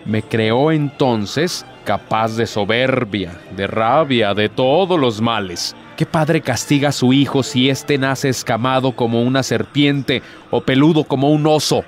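A man's voice: mid-range voice, a little slow, close to an everyday voice. Guy doing a bitter proud devil in a radio play, sarcastic n theatrical, bit of music behind. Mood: bitter, accusing. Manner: theatrical, rhetorical, sardonic.

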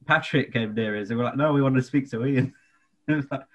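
A man's voice: mid-range voice, fast talker, dull audio. A young British man chatting casually on a podcast, telling anecdotes in a hesitant, self-effacing way. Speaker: podcast co-host.

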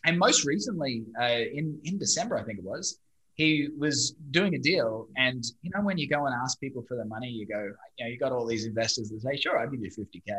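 A man's voice: medium pitch, expressive, fairly quick, fairly bright tone, poor audio. An Australian man in his thirties, a guest telling stories in a casual interview. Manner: chatty, storytelling, mild swearing. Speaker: guest, digital marketing company owner.

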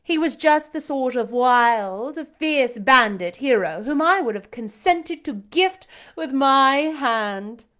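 Woman doing a scornful aristocratic young lady, high voice, swooping delivery, loud and showy. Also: expressive, slow pace, hardly any pauses, heavily acted. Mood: imperious. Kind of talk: audiobook character reading.

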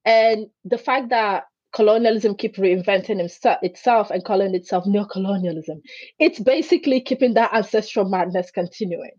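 Greek native-speaker woman telling a story all animated, doing little voices, middle pitch, kinda muffled sound. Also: normal speed, slightly rough, no echo. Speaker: guest. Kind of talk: conversational interview.

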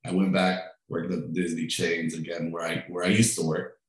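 A deep-voiced man from Peru talks about his cooking in a loose, conversational way, often doubling back on his words. His voice rings with heavy echo from the room around him. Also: normal speed. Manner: relaxed, chatty, with frequent restarts and fillers. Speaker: food truck owner and chef. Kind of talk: interview answers. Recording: very echoey room.

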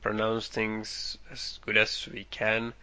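A man from Finland with a Finnish accent, chatting casually about a film and pausing on fillers as he thinks. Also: fairly low pitch, monotone, pushed and bright. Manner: thoughtful, frequent fillers, short agreements. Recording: dull lo-fi recording.

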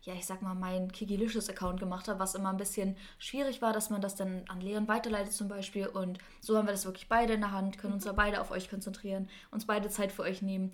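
Podcast co-host, female, early twenties. Rapid, casual chatter, giggly and full of gushing enthusiasm. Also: medium pitch, flat delivery.

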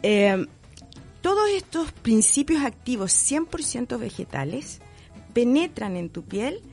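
A woman's voice: fairly high pitch, very animated, unhurried, darkish tone, faint music behind. Chilean woman explaining with enthusiasm. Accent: Chilean Spanish. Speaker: guest entrepreneur. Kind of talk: casual radio interview.

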